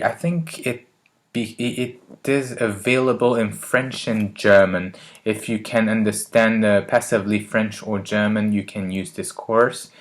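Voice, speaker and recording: A man talking casually to camera about learning languages, pausing often with fillers and restarting his sentences. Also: lowish voice, a bit bright. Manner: hesitant, many fillers and restarts.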